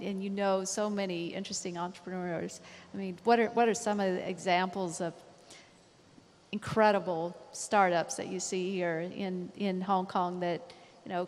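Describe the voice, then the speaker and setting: A woman moderating a panel with conversational questions, halting a little as she rephrases them. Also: mid-range voice. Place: conference hall panel. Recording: echoey hall with long reverb.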